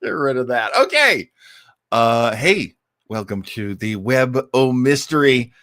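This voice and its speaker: A man's voice: mid-range voice, big pitch swings, slow pace, a bit bright, no echo, studio quality. A man hosting an old-time radio listening show in casual livestream chat, rambling along in a jokey, self-deprecating way.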